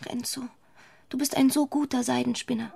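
An actress in a radio play playing a modest, anxious young bride with an earnest, tearful manner. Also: female voice, high-pitched, steady tone, moderate pace, vocal fry, mellow, breathy edge, dry recording, exaggerated voice. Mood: earnest, pleading. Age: young adult.

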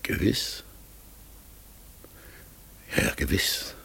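An actor playing a talking raven in a radio play, polite, forgetful and melancholy, with a hoarse, breathy voice and a halting, searching way of speaking. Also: male voice, pushed and bright. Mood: pensive, earnest. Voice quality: hoarse, gravelly, breathy, often dropping to a whisper. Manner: slow, halting, earnest, searching for words. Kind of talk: classic German radio play acting.

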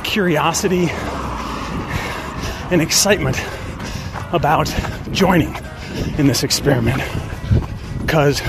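A man in his fifties hosting a personal podcast monologue, speaking deliberately in short phrases with pauses. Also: creaky. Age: 50s. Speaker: podcast host. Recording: noisy outdoor recording with traffic rumble.

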